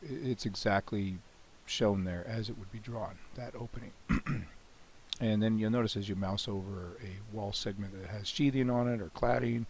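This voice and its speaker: A man with a cold, hoarse and often clearing his throat, casually talking through a software demo with many "you know"s and restarts. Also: on the low side, normal speed, slight background noise. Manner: relaxed, rambling, frequent "you know" and restarts. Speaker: software developer demonstrating his plugin. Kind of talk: screen-recorded software walkthrough.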